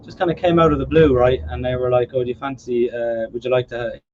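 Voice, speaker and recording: A man with an Irish accent, a business guest chatting casually on a radio show in a small studio, speaking into a boom mic. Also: flat delivery, fast talker, darkish tone, highs cut off, a little hiss or hum. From Ireland. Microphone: studio mic on boom arm.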